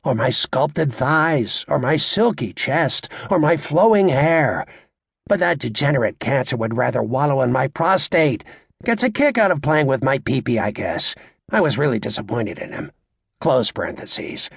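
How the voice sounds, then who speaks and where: A man playing a brash, crude stand-up comedian working a club crowd in an audiobook, with a raspy voice and a cocky, sarcastic, showy, needling delivery. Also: medium pitch, sing-song, normal speed, no echo, clean studio sound, exaggerated voice. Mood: mock-aggrieved.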